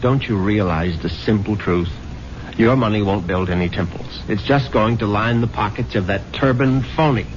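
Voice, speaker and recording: An actor playing a sardonic, money-minded middle-aged American husband in an old radio drama, with a wry theatrical delivery. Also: male voice, lowish voice, normal speed, slight background noise, close to an everyday voice. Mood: exasperated. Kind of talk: 1950s radio drama acting with first-person narration. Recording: muffled vintage radio recording with music.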